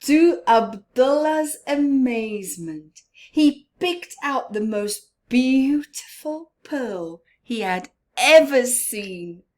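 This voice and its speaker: A woman reading a picture book aloud to young children, dramatic and expressive, with simple asides and questions to the listener. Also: high voice. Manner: slow, simple child-directed phrasing. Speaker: storyteller for children.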